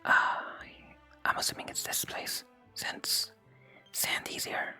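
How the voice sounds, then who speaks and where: Hushed ASMR whisper over game music. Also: takes it slowly. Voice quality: soft breathy whisper. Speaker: video game streamer, host. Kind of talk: whispered ASMR let's play, reading game text aloud.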